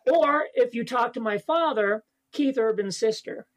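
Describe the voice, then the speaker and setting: Man, high voice, stand-up comedian, casual podcast guest, rambling, lots of fillers. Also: moderate pace. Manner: rambling, many fillers and restarts.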